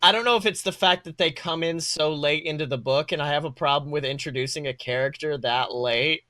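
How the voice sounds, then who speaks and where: A podcast co-host chatting casually in an animated, sweary way. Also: medium pitch, brisk, no room sound, studio quality.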